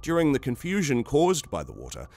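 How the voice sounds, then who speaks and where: A man's voice: medium pitch, wildly swooping pitch, brisk, noisy background. A man reading a scripted documentary narration in a formal, wordy manner.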